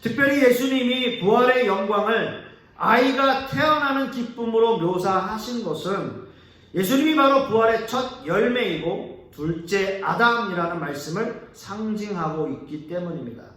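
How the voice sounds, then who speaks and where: A man preaching a sermon as pastor. He talks earnestly, rises on key words, and lets his sentences trail off soft and low. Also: expressive, moderate pace. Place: church sanctuary. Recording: echoey church hall, mic at a distance.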